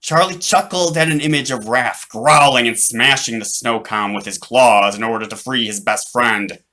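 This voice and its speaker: A man reading his own children's book aloud as an animated narrator. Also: medium pitch, moderate pace.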